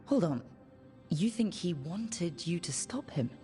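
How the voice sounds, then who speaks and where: A woman's voice: on the low side, lively intonation, slow pace, dark tone, soft background music. An actress playing a resolute, earnest young woman who leads a band of heroes in a fantasy game. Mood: probing, sympathetic. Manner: earnest, rallying, plain-spoken. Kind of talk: dramatic fantasy game voice acting.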